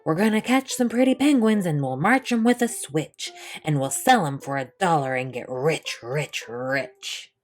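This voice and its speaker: Growly male hunter, played by a woman, rough, tough, menacing, rhythmic storybook delivery, lively pitch, music. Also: unhurried, few pauses. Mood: menacing, gleeful.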